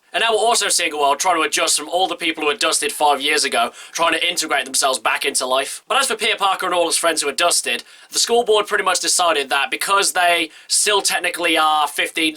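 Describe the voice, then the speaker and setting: Fast-talking young man with a stuffy cold. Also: fairly high pitch, pushed and bright. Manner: chatty and enthusiastic, quick run-on sentences. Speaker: film reviewer. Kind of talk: casual movie review to camera.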